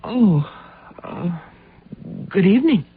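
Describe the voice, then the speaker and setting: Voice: medium pitch, very animated, slow, husky, heavily acted. A performer playing a meek, evasive housewife in a radio drama, giving hesitant, guarded answers. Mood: uneasy, defensive. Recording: muffled lo-fi radio drama recording.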